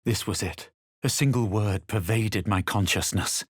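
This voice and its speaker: A man voicing a haughty, self-assured fantasy adventurer in a video game, measured and superior in manner. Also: lowish voice, breathy edge, no echo, studio quality. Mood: brooding, composed. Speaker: video game player character. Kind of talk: scripted game voice lines, narration and spell incantations.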